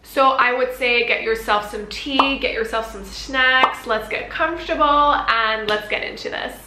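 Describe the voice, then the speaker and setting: Bright, chatty young Belgian woman. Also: fairly high pitch, measured pace, roomy sound, slight background noise, rough recording. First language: Dutch. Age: 20s. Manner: chatty, animated hand gestures. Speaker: vlogger. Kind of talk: Q&A vlog, casual chat to camera. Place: bright room at home, indoors.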